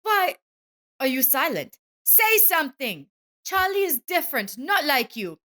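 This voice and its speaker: A woman voicing an unfaithful, sharp-tongued wife with an emphatic, confrontational manner in a narrated story. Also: high voice, big pitch swings, measured pace, pitched above the performer's own voice, full character voice. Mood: defiant, angry.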